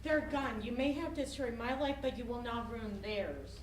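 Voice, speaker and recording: Actress as wronged woman, defiant and bitter, high voice carrying from far off in an echoey room, stage play. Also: moderate pace, lightly acted. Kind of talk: staged dramatic play reading. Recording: echoey room, distant mic, faint noise.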